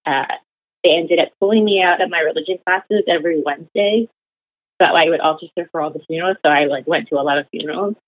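A woman's voice: deep voice, fast, no room sound. A young woman talking casually as an interview guest, rambling with many fillers like "like" and "you know". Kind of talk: casual conversational storytelling. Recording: tinny phone-like line.

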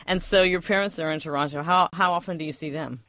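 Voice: mid-range voice, fast, a bit bright, dry recording. Podcast host asking short follow-up questions, lots of mm-hmms, dry close sound. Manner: brief prompting questions, frequent "mm-hmm" backchannel. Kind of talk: conversational interview.

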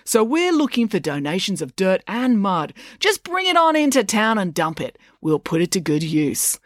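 A brash showman played by a woman narrator bellows in a raised pitch, stretching out words to whip up a crowd. It is a storybook hype man, big and relentlessly rousing, recorded close and dry. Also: sing-song, dry recording. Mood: hyped, salesy. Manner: booming, drawn-out crowd-rallying delivery. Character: bellowing muscle-bound male mud-run promoter, relentlessly rousing showman. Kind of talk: storybook character voice.

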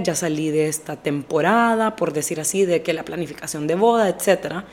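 Podcast host: young woman, a bit hoarse and stuffy, lowish voice, direct and chatty. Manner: direct, chatty, mixes English phrases into Spanish. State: slightly hoarse, stuffy nose. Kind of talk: casual solo podcast chat.

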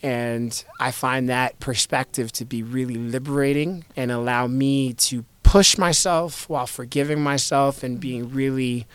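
A man in his thirties from the United States, an investor guest talking reflectively in an interview, in a measured way with repeated starts. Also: medium pitch, clear, resonant tone, dry recording. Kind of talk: conversational interview.

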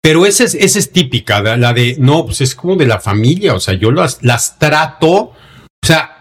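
A man's voice: fairly high pitch, very animated, normal speed. A man with a Mexican Spanish accent, born in Denver and raised in Mexico, co-hosting a casual podcast chat and mocking phrases in put-on voices. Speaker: podcast co-host.

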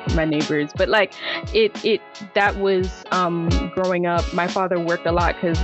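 A woman's voice: fairly low pitch, fairly even intonation, moderate pace, over music. A young woman from Brooklyn, a podcast guest chatting casually about her life and laughing often between thoughts. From Brooklyn, New York. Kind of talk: casual interview chat.

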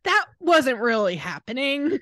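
A woman with an American accent co-hosting a podcast in casual chat, telling stories in an animated, informal way. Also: high voice, measured pace, no echo, clean studio sound. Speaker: podcast co-host.

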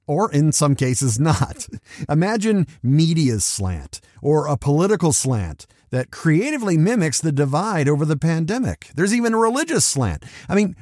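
A man's voice: a little high, darkish tone, dry recording, studio quality. A man hosting a solo podcast of scripted reviews and commentary, with a theatrical, playful, emphatic delivery. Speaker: podcast host.

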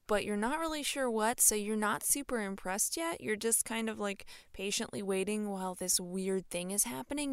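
A woman's voice: mid-range voice, clear, resonant tone, no echo. A young woman hosting a solo podcast in a bubbly, chatty way, with lots of fillers and small laughs, on a close mic. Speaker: podcast host. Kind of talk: casual solo podcast talk.

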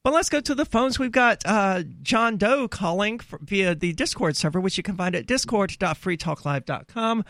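A man's voice: high voice, lively intonation, normal speed, hardly any pauses, a bit bright, dry recording. A man hosting a call-in talk radio show, blunt and opinionated with a sarcastic edge.

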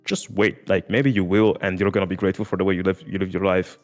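A man's voice: sits low, flat delivery, fast talker, soft background music. A man guest on a podcast, talking casually and earnestly, throwing in "dude" and "like" a lot. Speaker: music content creator guest.

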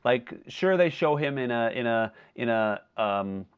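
A man co-hosting a podcast in a casual film discussion, talking in a halting, wordy way as he thinks aloud. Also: mid-range voice, animated, smooth, lo-fi sound. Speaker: podcast co-host.

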